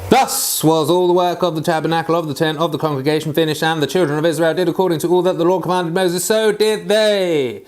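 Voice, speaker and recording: A man reading scripture aloud as an amateur Bible reader, slightly halting in his delivery. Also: fairly high pitch, steady tone.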